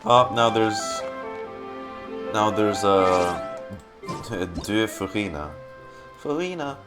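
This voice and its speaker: A man doing casual, expressive live game commentary, informally muttering to himself with a creaky voice. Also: on the low side, very slow. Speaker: streamer reacting to a game. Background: game music.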